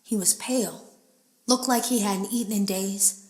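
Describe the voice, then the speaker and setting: An echoey room surrounds an uneasy female game tester played by an actress. Her mid-range voice tells its story in a measured, confessional way, like secret audio logs in a horror video game. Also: mid-range voice, darkish tone, lightly acted. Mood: somber, troubled. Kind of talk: scripted audio log monologue in a horror game.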